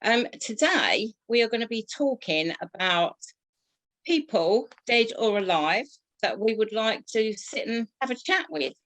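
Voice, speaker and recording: A woman hosting a casual podcast chat as a tutor, introducing her guests in an unhurried way with frequent fillers. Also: on the high side, lively intonation, fairly bright tone, rough recording. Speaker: host and tutor.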